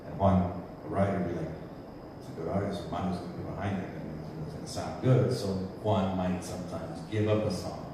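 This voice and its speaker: A man with a fairly low voice chats loosely on a workshop panel, drifting now and then into Spanish phrases. He is heard from a distance across a big, echoing hall. Steady noise hangs under his voice. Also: brisk. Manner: rambling and conversational, slips into Spanish phrases. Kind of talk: casual panel talk. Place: large hall before an audience. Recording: echoey hall, mic far off, noisy.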